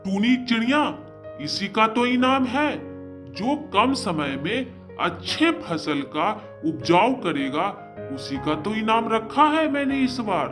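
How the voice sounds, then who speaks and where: Man voicing a commanding, high-pitched bird king. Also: big pitch swings, takes it slowly, music in the background, exaggerated voice. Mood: patient, commanding. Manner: declarative, patient, commanding. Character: authoritative, generous male bird king of the forest. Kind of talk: Hindi children's moral-story cartoon.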